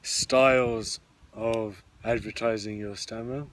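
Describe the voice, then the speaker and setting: A man in a relaxed, easygoing podcast chat as co-host. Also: fairly low pitch, slow, background noise. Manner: relaxed, easygoing, frequent listening murmurs. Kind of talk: casual discussion.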